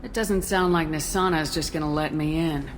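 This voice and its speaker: Low-voiced, resolute woman commander. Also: normal speed, slight background noise. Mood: probing, composed. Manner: direct, businesslike, composed. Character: resolute female space military commander. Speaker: game protagonist. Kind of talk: video game voice acting. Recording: game soundtrack music behind.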